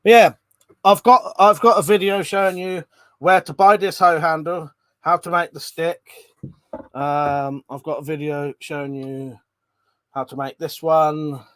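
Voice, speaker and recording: A man's voice: on the high side, steady tone, unhurried, fairly bright tone, no echo. A man hosting a live stream, reading out viewer comments in a rambling, chatty way, congested from a cold and coughing now and then. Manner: chatty, rambling, frequent ums and restarts.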